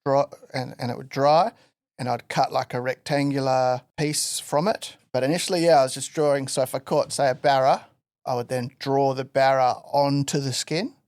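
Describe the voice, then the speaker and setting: A man's voice: mid-range voice, moderate pace, dry recording, clean studio sound. A man from Western Australia, a guest artist telling his story in a casual podcast interview, loose and full of fillers. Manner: relaxed rambling storyteller with many fillers.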